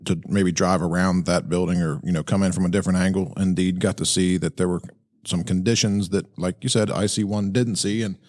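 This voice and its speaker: A man giving conversational commentary as a podcast host, explaining things in a halting, stop-and-start way. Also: low-pitched, little pitch movement, darkish tone. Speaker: podcast host breaking down fire radio traffic.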